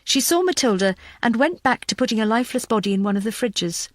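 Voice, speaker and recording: A woman's voice: on the high side, lively intonation, fast, no echo. Woman narrating a thriller audiobook, dry recording.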